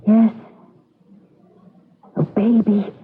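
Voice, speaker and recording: An actress playing a frightened, lovesick young expectant mother in a radio drama, halting and pleading, with an American accent. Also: medium pitch, level tone, husky, faint music behind, full character voice. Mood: uneasy. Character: frightened, lovesick expectant young woman, secretly a programmed operative. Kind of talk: old-time radio drama acting. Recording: tinny, narrow-band radio drama recording.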